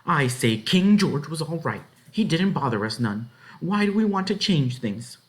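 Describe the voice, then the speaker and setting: A man's voice: very animated, moderate pace. Man doing a scolding, bossy farm wife. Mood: firm grumbling. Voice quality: shrill when upset. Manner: scolding, rustic folksy talk.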